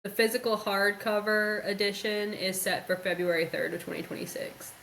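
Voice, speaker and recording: A woman co-hosting a book podcast, chatting casually and interjecting in an expressive way. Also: mid-range voice, moderate pace, vocal fry, rough recording. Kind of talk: casual chat.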